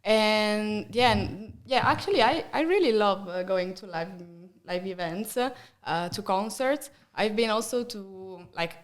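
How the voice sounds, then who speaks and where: A young Italian woman speaks English with a clear accent, her voice sitting at a middle pitch. She chats casually on a podcast, stumbling over fillers and slipping in small laughs. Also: a little slow. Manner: hesitant, many fillers, laughs often. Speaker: guest.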